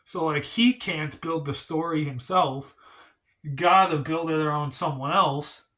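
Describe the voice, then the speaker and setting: A man's voice: a little high, a little slow, pushed and bright. A man hosting a casual wrestling review vlog, talking in a rambling, hedging way, congested and stuffy from a cold. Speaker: wrestling channel host.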